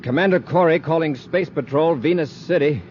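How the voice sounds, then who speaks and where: Commanding actor's space commander, noisy old radio. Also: male voice, on the high side, normal speed, darkish tone, close to an everyday voice. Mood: businesslike. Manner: authoritative, decisive, crisp orders. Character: commanding, level-headed space patrol commander, adult man. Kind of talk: 1950s radio adventure acting. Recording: muffled, noisy 1950s radio recording.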